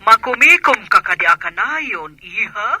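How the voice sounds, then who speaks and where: Radio drama role: middle-aged mother overseas, played by a woman, telephone voice, caring, piercing and raspy. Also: medium pitch, lively intonation, moderate pace, bright, forceful tone, no room sound, full character voice.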